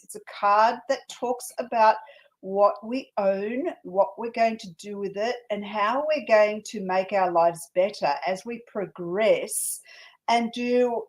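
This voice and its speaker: A woman, a psychic co-host giving tarot card readings on a casual live stream, lively and quick to laugh. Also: fairly high pitch, low-quality recording. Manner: animated, laughs often, repeats names.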